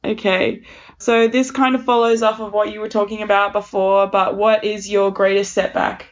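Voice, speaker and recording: A woman with a mid-pitched voice chats as an interviewer. Her delivery is informal and agreeable, and the sound is slightly muffled. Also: little pitch movement, moderate pace. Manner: agreeable, informal, uses frequent backchannels and "like". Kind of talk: casual interview chat.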